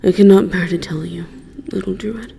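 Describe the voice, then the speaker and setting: A performer voicing a remorseful dragon in a dramatic audio roleplay, speaking in a breathy, husky voice, haltingly and solemnly. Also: mid-range voice, fairly even intonation, dark tone, full character voice. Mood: resigned, sorrowful. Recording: long cavernous reverb.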